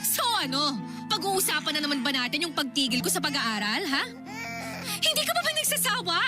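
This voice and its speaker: A woman's voice: high voice, big pitch swings, fairly quick. A Filipino woman playing a bitter, callous young mother and defiant younger sister in a radio drama, scornful, snapping and argumentative. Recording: loud music under the voice. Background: loud background music.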